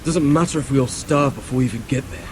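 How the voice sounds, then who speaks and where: An actor playing a blunt, agitated, grumbling travel companion in a video game. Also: male voice, medium pitch, fast, background noise, lightly acted. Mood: alarmed, complaining. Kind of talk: video game dramatic acting.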